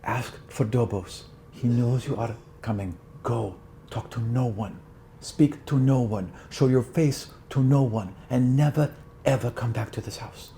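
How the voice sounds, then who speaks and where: Man playing a grave, hushed, creaky-voiced mother. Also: mid-range voice, measured pace, slight background noise. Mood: grave, urgent. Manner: hushed, stern, commanding. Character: grave, urgent mother sending her child away in secret.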